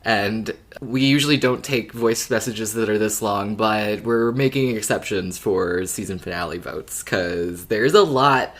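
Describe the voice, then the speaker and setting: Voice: mid-range voice, measured pace, few pauses. A podcast co-host talking casually and conversationally.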